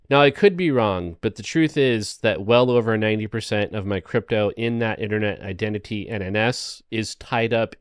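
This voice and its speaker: A man hosting an explanatory, cautionary monologue, speaking in a measured, emphatic way with frequent caveats. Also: medium pitch, clear, resonant tone, no echo, clean studio sound. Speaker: video host.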